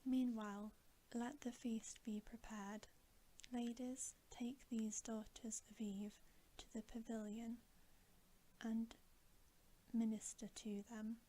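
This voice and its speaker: A woman voicing a great, calm, royal male lion in a soft, grave whisper for a bedtime storybook. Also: a little high, takes it slowly, low-quality recording. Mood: calmly commanding. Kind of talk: bedtime ASMR storybook reading.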